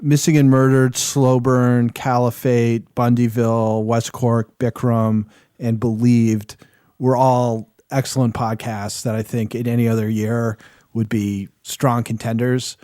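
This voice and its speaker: A man's voice: medium pitch, level tone, measured pace, mellow, no echo, studio quality. A man speaking as a panelist in a casual podcast discussion.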